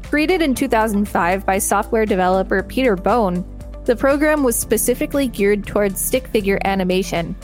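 A woman co-hosts a podcast, reading aloud in a clear, upbeat voice. Her pitch sits in the middle of the range. Faint music plays beneath her. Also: expressive, moderate pace. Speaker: podcast co-host.

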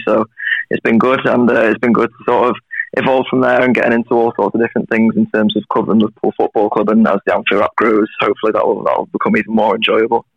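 A young man speaks as a guest over a call, hedging his answers with "sort of". His voice is low and flat and he talks fast. The sound is dull and lo-fi, with no room around it. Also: gravelly, dry recording. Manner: quick, hedging with 'sort of'. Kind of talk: casual interview answers. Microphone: phone or call.

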